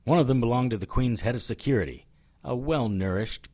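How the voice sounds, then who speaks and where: Husky, hard-boiled occult detective played by an actor. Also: male voice, mid-range voice, fairly quick, warm tone, lightly acted. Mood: dry. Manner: dry, sardonic. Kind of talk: first-person noir narration and dialogue in audio drama.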